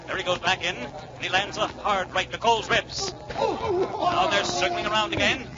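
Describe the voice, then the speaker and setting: An actor playing an excited ringside announcer calling a school boxing match in dramatic 1940s radio style. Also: male voice, high voice. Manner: rapid-fire, dramatic, emphatic repetition. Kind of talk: 1940s radio blow-by-blow commentary. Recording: muffled, noisy 1940s radio, roaring crowd.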